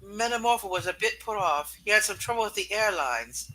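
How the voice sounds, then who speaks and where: High, animated man, bright voice. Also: a little fast, poor audio. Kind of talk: casual banter and amateur comic reading. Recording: faint background noise.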